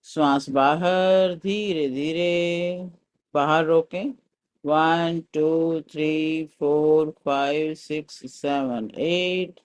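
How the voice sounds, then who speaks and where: A man guides a meditation in a fairly high voice. He speaks very slowly and calmly, giving soothing instructions like a teacher leading a session. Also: rough recording. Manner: calm, soothing, unhurried instruction. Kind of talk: guided meditation with breath counting and mantra chanting.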